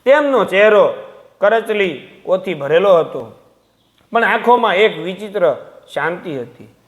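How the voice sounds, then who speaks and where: A man's voice: high voice, big pitch swings, a little slow. A man narrating a sentimental moral story from a script, as a storyteller.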